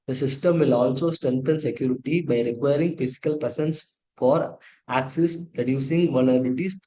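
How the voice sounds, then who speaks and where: Male tutorial presenter, Indian accent, mid-pitched, stop-start delivery with repeats and self-corrections. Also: warm tone, low-quality recording. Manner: halting, repetitive, self-correcting. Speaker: presenter of an engineering project demo. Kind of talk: tutorial walkthrough, partly read from slides.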